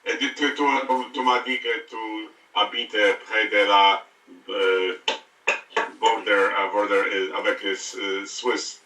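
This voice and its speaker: An American man speaking French as a learner, halting and searching for words. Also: lowish voice, fairly even intonation, bright, forceful tone, roomy sound, recorded from a distance, a little hiss or hum, low-quality recording. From United States. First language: English. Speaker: language learner.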